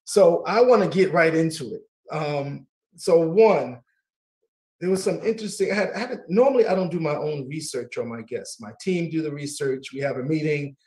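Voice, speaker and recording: A middle-aged man hosting a casual talk radio show, warm and laughing easily, often repeating his words. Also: on the high side, normal speed.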